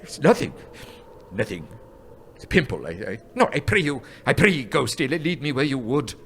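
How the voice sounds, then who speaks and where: A man's voice: medium pitch, sing-song, moderate pace, a bit bright. A man acting a nervous, sentimental old miser in a live radio play, stammering and repeating his words. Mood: wistful, moved. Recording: live stage recording with background noise.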